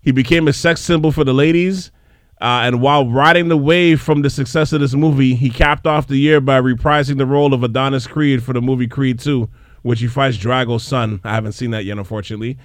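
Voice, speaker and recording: Brief: mid-voiced man, lively podcast host, dry close-miked. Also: mid-range voice, a little fast, no room sound. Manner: animated, repeats words when worked up. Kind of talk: casual chat.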